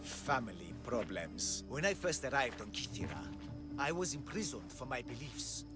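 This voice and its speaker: Male actor as deluded prophet who thinks himself a god, theatrical declaiming, swooping animated pitch, game cutscene, music clearly behind. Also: normal speed, close to an everyday voice. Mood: self-important. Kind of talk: video game cutscene acting.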